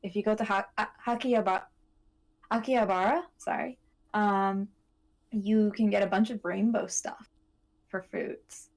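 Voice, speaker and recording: A woman with a medium-pitched voice hosts a casual, informative chat. She sounds matter-of-fact and a little halting as she lays out her points. The delivery is plain and conversational. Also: fairly even intonation. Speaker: podcast host.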